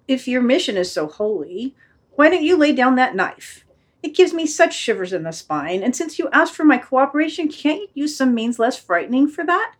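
A woman's voice: sits high, husky, full character voice. A woman voicing a timid, naive little dog that pleads with its master in an amateur audio play. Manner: pleading, stammering when puzzled. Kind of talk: amateur radio play reading.

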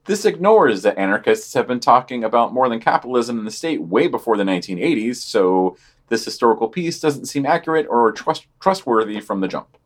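Deadpan man podcast co-host. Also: mid-range voice, brisk. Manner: deadpan, critical commentary. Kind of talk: reading and commenting on news.

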